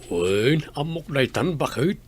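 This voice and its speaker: A man acting an easygoing village husband in an Ilocano radio drama, gravelly, with a Filipino accent. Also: medium pitch, expressive, takes it slowly, heavy background noise. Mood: agreeable.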